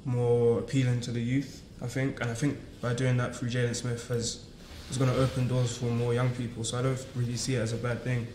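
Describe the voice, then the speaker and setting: A man with a flat, even voice weighs his views on a fashion panel, hedging with repeated "I think". The studio is echoey with faint hiss. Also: medium pitch, a little fast, few pauses. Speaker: panelist, brand designer. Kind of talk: casual panel discussion.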